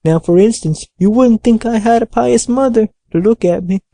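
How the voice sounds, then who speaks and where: A hoarse, rusty-voiced old castaway drawls slowly and loudly, his words dragging out, as a woman reader puts him on. The voice sounds set apart from the narration, in a dry, close recording. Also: on the high side, dry recording. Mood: rambling. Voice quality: hoarse, awkward, slow drawl. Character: ragged half-crazed old male castaway. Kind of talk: audiobook character voice.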